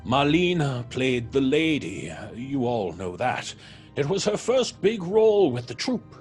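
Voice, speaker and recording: A man playing a troubled theatre actor in an audio horror drama, speaking haltingly with dramatic pauses. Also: mid-range voice, very animated, faint music behind. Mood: grieving, haunted. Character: troubled theatre actor, haunted by a past tragedy.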